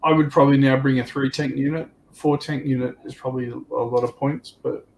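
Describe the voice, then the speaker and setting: A man with a medium-pitched voice talks casually as a panellist, in a loose, anecdotal manner. There is faint noise behind him. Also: fairly even intonation, brisk, gravelly, darkish tone, low-quality recording. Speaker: livestream panellist. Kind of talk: casual chat.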